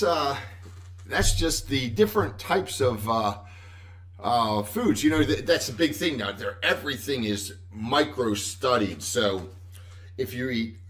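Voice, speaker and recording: A man hosting a casual livestream chat, rambling and correcting himself with frequent chuckles, with a loud voice. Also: mid-range voice, far from the mic. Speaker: host. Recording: echoey room, voice from afar.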